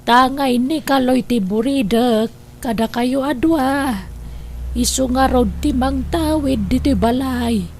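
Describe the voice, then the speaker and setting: A woman voicing a frail, dying elderly mother in an Ilocano audio drama, speaking weakly and slowly. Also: high voice, a little hiss or hum. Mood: frail, tender. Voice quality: gravelly, slow and weak. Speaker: voice actor.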